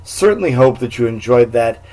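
A man's voice: medium pitch, animated, moderate pace, darkish tone, poor audio. A man hosting and signing off a radio show. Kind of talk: hosting sign-off.

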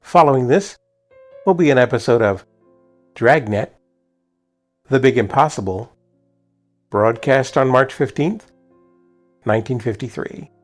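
A man's voice: mid-range voice, a little slow, pauses often, dry recording, faint music behind. Man presenting an old-time radio show. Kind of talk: casual chat and show introduction.

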